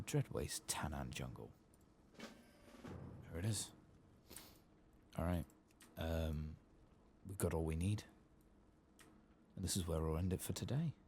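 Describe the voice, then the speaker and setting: A soft-spoken man giving unhurried ASMR gameplay commentary, murmuring to himself and sometimes dropping toward a whisper, close to the microphone. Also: a bass voice, animated, creaky, a little hiss or hum. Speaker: gaming streamer.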